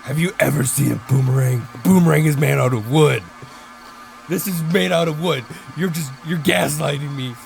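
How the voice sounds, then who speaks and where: A man voicing a petulant, evasive vigilante in an improvised comic audio drama, stammering through excuses in a gravelly voice. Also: fairly high pitch, moderate pace. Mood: bargaining, indignant. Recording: clear background noise with chirping crickets.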